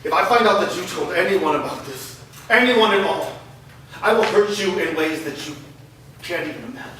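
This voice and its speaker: A man's voice: high-pitched, normal speed, a crowd in the background, lightly acted. A man playing a menacing, controlling father at a staged play reading, threatening and cold. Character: menacing abusive middle-aged stepfather Kevin. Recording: echoey room from far off, noisy.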